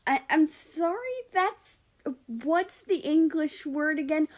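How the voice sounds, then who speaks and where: A woman performs a small, fiery man in an audio story, indignant and emphatic. The voice rides high and punches out drawn, deliberate words. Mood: sarcastic disbelief. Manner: swearing, outraged, emphatic. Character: small, hot-tempered, indignant male Mexico.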